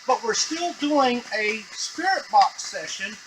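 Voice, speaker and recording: A man's voice: extremely high pitch, animated, slow pace, a bit bright, a little hiss or hum, poor audio. A man giving a casual, unscripted tutorial on a device, explaining step by step to the viewer as he works. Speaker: device demonstrator.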